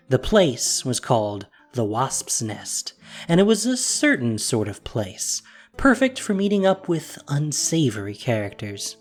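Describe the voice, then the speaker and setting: An audio drama narrator tells a fantasy story with a lively, rising and falling delivery. The narration is scripted and expressive, with soft music underneath. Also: mid-range voice.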